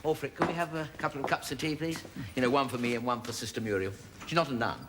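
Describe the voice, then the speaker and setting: A man's voice: medium pitch, quick pace, lightly acted. A man playing a fussy, flustered middle-aged bachelor son who dithers and apologises with giggly asides. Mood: jovial. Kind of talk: sitcom acting. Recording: sitcom track with loud noise.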